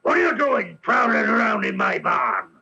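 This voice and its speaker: A man playing an angry, suspicious, rough country innkeeper who shouts menacingly in a radio mystery. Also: sits high, wildly swooping pitch, normal speed, heavily acted. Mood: furious. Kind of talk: 1980s radio mystery acting. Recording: dull 1980s AM radio broadcast.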